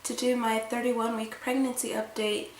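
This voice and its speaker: A woman's voice: on the high side, flat delivery, normal speed, reverberant. A young woman giving a casual vlog update, her voice stuffy and nasal from a cold, chatting along with lots of fillers. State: congested from a cold. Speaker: vlogger.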